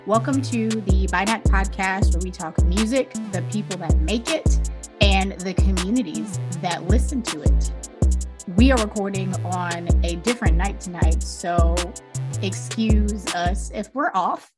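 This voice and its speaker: A woman's voice: a little slow, loud music behind the voice. Chatty woman podcast host, close mic. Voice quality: pitchy and a little grating, by her own account. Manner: chatty, loose and profane, laughs often. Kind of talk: casual podcast chat. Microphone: close desk mic.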